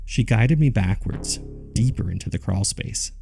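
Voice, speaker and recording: A low-voiced man with a heavy gravelly creak tells his horror story as a haunted survivor. He speaks gravely and deliberately, in a dark, subdued tone, like a scripted audio drama narrator. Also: expressive, soft background music. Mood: grave, uneasy. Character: haunted man recounting a terrifying ordeal.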